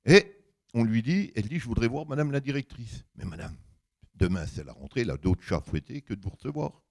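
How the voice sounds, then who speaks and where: An older man with a big voice giving an anecdotal public talk, colloquial and emphatic, acting out bits of dialogue as he tells it. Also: a little fast. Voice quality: big deep voice. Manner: animated storytelling, colloquial, emphatic, acts out reported dialogue.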